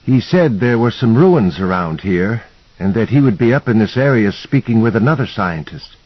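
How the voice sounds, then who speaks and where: A man's voice: on the low side, lively intonation, moderate pace, no room sound, muffled recording, pitched above the performer's own voice. A man voicing a shy, courteous male professor with a Scandinavian accent in an audiobook, polite, formal and hesitant. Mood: earnest.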